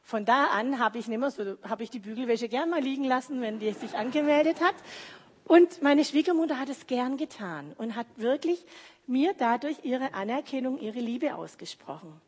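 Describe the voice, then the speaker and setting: Female preacher with a slightly high, breathy voice, easygoing sermon delivery, reverberant church hall. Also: lively intonation. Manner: conversational, explaining slowly. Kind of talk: sermon with personal anecdotes.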